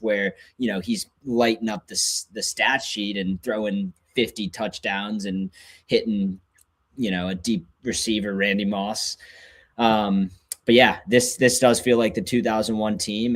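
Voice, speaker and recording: Podcast guest, young male, lowish pitch, casual fan analysis of sports.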